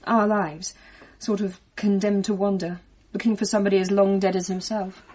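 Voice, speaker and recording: Woman acting a husky, uneasy British daughter. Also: mid-range voice, flat delivery, normal speed, dark tone, natural voice. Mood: reflective. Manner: hesitant, candid. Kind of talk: naturalistic radio drama acting.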